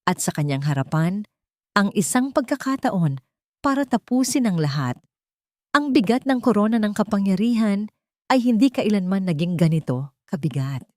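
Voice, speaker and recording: A woman's voice: medium pitch, sing-song, moderate pace, darkish tone, no room sound, clean studio sound. A woman reading a scripted love story as a dramatic narrator.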